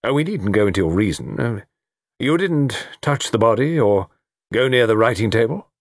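A man voicing a brisk, stern middle-aged chief constable in a storybook, questioning in a clipped, official way. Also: medium pitch, sing-song, no echo, studio quality, voice pushed up. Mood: probing.

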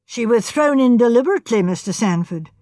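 A shrewd police inspector presses his questions coolly and without emotion, read by a woman. The voice sits fairly high and dry, close to the mic. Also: expressive, a little fast, dark tone, no echo, natural voice. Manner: cool, pressing, matter-of-fact.

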